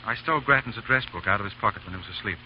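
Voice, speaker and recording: Actor as a sheltered young heir, quick and earnest, dull old broadcast, faint hiss. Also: male voice, lowish voice, close to an everyday voice. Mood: cheerful. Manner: polite, eager, quick and earnest. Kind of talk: 1930s radio drama acting.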